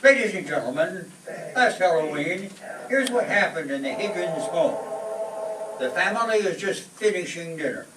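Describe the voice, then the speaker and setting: A man's voice: sits high, animated, normal speed. A man announcing a scripted old-time radio show. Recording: echoey hall, distant, noisy.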